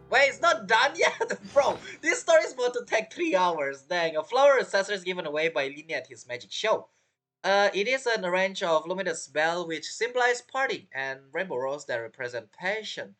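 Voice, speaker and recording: Lively low-pitched female game streamer. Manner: slangy, jokey, exclamatory. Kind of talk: casual reaction commentary over gameplay. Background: game music.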